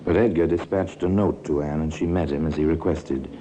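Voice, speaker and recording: A man performing as the grave, wry narrator of a 1950s radio crime drama, measured and ominous. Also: a bass voice, fairly even intonation, mellow. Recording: hissy 1950s radio recording.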